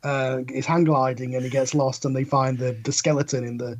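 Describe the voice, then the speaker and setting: A man's voice: medium pitch, flat delivery, brisk. A man chatting casually as a podcast guest, giving sardonic, joking commentary with some offhand swearing.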